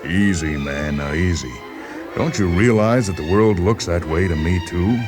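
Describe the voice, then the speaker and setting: Man acting a low-voiced, placating doctor. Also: over music. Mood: soothing. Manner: measured, placating, hesitant. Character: calm, reasoning male doctor humoring a troubled patient. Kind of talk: dramatized short story reading.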